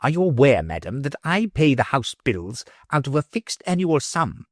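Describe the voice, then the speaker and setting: A man's voice: medium pitch, very animated, moderate pace, no room sound, studio quality, natural voice. A man reading aloud, voicing a cunning middle-aged official who growls and schemes. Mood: angry. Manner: growling, sly.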